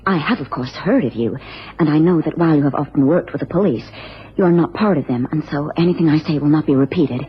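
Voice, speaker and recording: A woman's voice: sits low, fast, close to an everyday voice. A woman playing an anxious, genteel American wife in a radio drama, speaking formally and earnestly with careful phrasing. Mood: polite, anxious. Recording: dull, noisy old radio recording.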